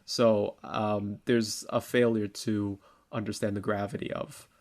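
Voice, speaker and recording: A man with a fairly low voice chats as a co-host on a talk show. He speaks in a casual, colloquial way, discussing and arguing points informally. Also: little pitch movement, unhurried, darkish tone.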